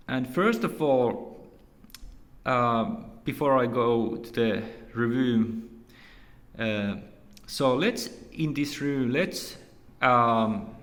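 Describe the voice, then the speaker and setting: A man from Finland, a native Finnish speaker with a Finnish accent, giving a casual, unscripted review in a hesitant, halting and repetitive way. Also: medium pitch, fairly bright tone. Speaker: video reviewer. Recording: echoey room with long reverb.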